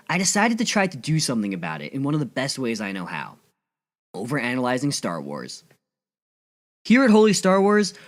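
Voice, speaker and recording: A man's voice: mid-range voice, fairly bright tone. A man hosting a solo podcast, reading scripted narration in an earnest, enthusiastic way. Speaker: podcast host.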